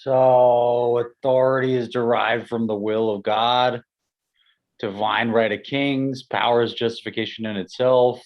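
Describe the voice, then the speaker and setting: A man's voice: medium pitch, fairly even intonation, natural voice. Man playing a probing professor, slightly muffled. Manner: questioning, measured. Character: Ethan, probing male seminar professor. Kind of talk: live staged play reading. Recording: slightly dull lo-fi sound.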